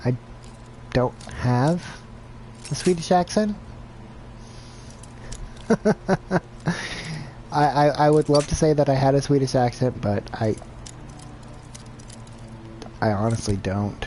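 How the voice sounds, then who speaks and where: A man's voice: medium pitch, slow, pauses often, warm tone, a little hiss or hum. A man streaming a video game live, chatting casually and thinking aloud in a rambling, self-deprecating way. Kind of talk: casual gameplay commentary.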